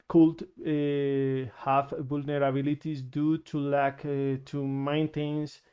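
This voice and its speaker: A man from Colombia presents his research in careful, unhurried English, his voice smooth and crisp. His delivery stays level and monotone, with frequent pauses and restarts as he searches for words. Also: medium pitch, lo-fi sound. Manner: halting, many fillers and restarts. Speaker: security researcher. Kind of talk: conference presentation.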